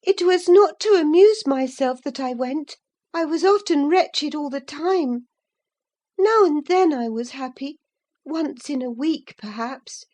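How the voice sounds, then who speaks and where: A high, breathless teenage girl from a classic storybook, as a woman narrator puts her on. She pleads and confesses in an earnest rush, her voice lifted well above the reader's own. The delivery stays slow and careful. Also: a little mellow. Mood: confessing.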